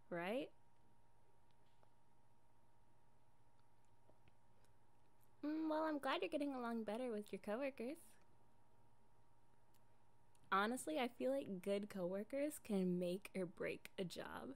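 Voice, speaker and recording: A woman's voice: medium pitch, moderate pace, few pauses, close to an everyday voice. A young woman playing an affectionate, jealous girlfriend in an improvised ASMR roleplay, casual and coaxing in an intimate way. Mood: affectionate, chatty.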